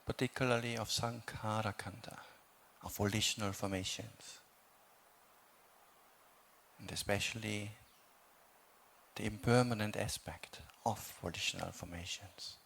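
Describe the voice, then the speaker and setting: A man teaching a guided meditation, speaking in calm, measured phrases with long pauses between them. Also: fairly low pitch, gravelly, slight background noise. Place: meditation hall.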